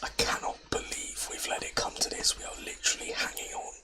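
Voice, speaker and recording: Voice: brisk, sharp tone. A gamer, whispering nervous, exasperated commentary over a football management game, close to the mic. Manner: whispering throughout, nervy and exasperated.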